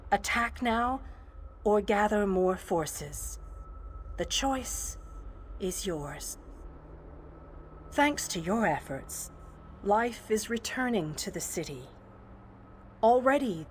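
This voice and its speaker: A wise, ethereal female guardian in a video game. She speaks very slowly, with formal, measured calm. Faint ambient noise sits behind the voice. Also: mid-range voice.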